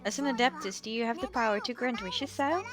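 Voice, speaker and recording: A woman's voice: fairly high pitch, animated, brisk, music in the background, close to an everyday voice. A woman voicing a small, chatty, eager fairy companion from a video game, who talks about herself by name. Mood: curious. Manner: chirpy, refers to herself by name. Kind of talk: video game dialogue read aloud.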